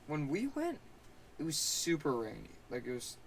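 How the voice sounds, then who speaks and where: A man's voice: fairly high pitch, wildly swooping pitch, moderate pace, creaky, slight background noise, poor audio. A young man co-hosting a podcast, chatting casually and trailing into restarts. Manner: laid-back, trailing restarts. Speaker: podcast co-host. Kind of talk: casual chat.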